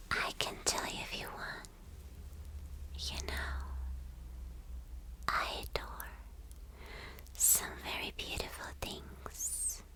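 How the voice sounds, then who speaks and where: A woman voicing a vain, obsessive villain girlfriend in a breathy, lingering ASMR roleplay whisper. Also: sharp tone. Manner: slow, breathy, lingering, repeating phrases.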